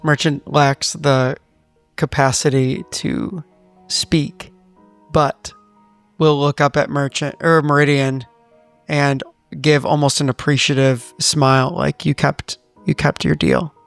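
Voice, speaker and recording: Gravelly man with a higher-pitched voice. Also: level tone, slow pace, no room sound, faint music behind. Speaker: player in a tabletop role-playing game. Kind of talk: narrating his character's actions, casual chat.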